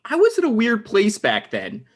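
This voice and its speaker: A man's voice: high-pitched, huge pitch swings, heavily acted. Actor as anxious, defensive man in his thirties. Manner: halting, defensive. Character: anxious, resentful thirty-five-year-old man, defensive ex-boyfriend. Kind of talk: naturalistic comedy table read.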